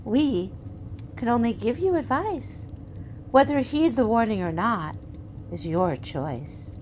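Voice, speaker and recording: Stern female guardian played by a woman for horror audio drama. Measured, slow, solemn warnings. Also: fairly high pitch, expressive, a little mellow, a little hiss or hum. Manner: slow, grave, admonishing.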